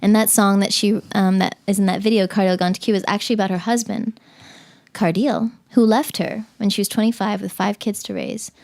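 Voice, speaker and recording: Chatty podcast guest, a woman from the Cayman Islands, relaxed interview talk full of fillers. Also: mid-range voice, brisk, creaky. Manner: chatty, many fillers and restarts. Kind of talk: casual interview chat.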